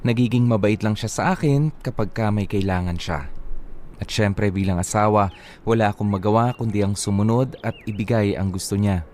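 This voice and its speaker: A man's voice: lowish voice, a little mellow, slight background noise. A man reading a listener's letter as dramatic first-person narration, as a radio drama host.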